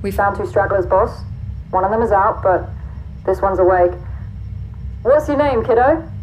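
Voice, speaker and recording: A woman playing a brisk, businesslike guard in a sci-fi audio drama, speaking in a clipped manner. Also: mid-range voice, animated, darkish tone, close to an everyday voice. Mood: reporting, alert. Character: brisk, businesslike female guard reporting to her boss. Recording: narrow phone-like band, faint noise.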